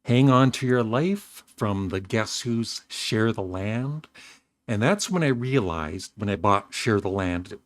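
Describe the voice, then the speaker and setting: Older male host, slightly rough voice, low, dry close sound, rambling casual chat. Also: lively intonation, slow, no echo. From Canada. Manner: rambling, self-correcting, warm chuckles. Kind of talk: casual vlog talk.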